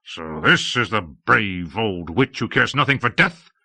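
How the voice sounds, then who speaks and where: A man voicing an angry, impatient man in an audiobook tale, gruff, blunt and scornful. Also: lowish voice, expressive, a little slow, sharp tone, no room sound, exaggerated voice. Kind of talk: dramatic audiobook storytelling.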